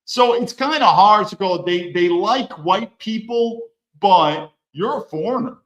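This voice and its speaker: Man, fairly high voice, blunt, emphatic, solo podcast monologue, echoey room. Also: measured pace, fairly bright tone, muffled recording. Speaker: podcast host.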